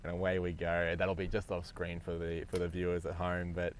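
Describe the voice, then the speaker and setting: A man commentating a cricket match live, chatty and quick to laugh. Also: deep voice, monotone. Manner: chatty, laughs easily, many restarts.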